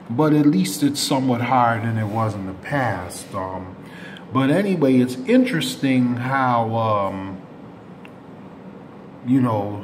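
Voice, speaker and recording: A man hosting a community news channel, giving unscripted commentary to subscribers in a rambling way, often correcting himself with rough approximations. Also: mid-range voice. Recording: clear background noise, ambient room sound.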